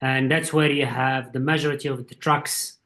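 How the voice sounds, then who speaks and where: A man's voice: mid-range voice, steady tone, moderate pace, fairly bright tone. A man, a guest explaining his views at length in a casual interview. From Morocco. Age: 40s. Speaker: guest, supply chain executive.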